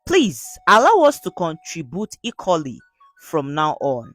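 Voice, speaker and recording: Woman reading as an earnest village man, slow and expressive. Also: low voice, dry recording, faint music behind, full character voice. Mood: earnest gratitude. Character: earnest, remorseful village man offering help.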